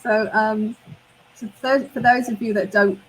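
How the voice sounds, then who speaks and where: A woman's voice: on the high side, fairly even intonation, measured pace, slight background noise, poor audio. Upbeat woman co-hosting a livestream. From United Kingdom. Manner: upbeat, repeats words for emphasis. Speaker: co-host and online business mentor. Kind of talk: livestream panel chat.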